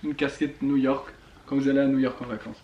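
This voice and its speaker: A man's voice: mid-range voice, level tone, a little fast, dull audio. A man bantering casually with his partner in a dry, teasing way. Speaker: vlogger's boyfriend, off-the-cuff commenter. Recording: echoey room, off-mic, faint noise.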